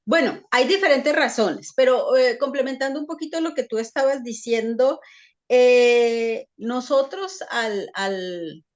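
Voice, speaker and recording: A woman's voice: on the high side, measured pace, highs cut off. A psychologist being interviewed, explaining her points thoughtfully with frequent hesitations and restarts. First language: Spanish. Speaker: psychologist guest. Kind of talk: conversational interview answers.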